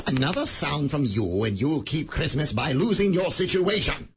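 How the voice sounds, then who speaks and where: An actor playing a bitter, miserly old moneylender in a radio play, clipped and scornful. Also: male voice, fairly high pitch, expressive, normal speed, creaky, breathy edge, exaggerated voice. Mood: curt.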